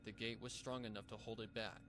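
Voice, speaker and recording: A man's voice: on the low side, steady tone, fast, over music. A man reading a scripted story as a dramatic narrator, with a noisy background. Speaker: fan fiction narrator.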